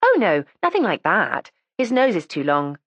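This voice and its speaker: A woman's voice: on the low side, wildly swooping pitch, normal speed, husky, no echo, heavily acted. A woman reading the part of a precocious, matter-of-fact teenage schoolgirl who chats candidly and primly. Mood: earnest. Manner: chatty, candid and prim.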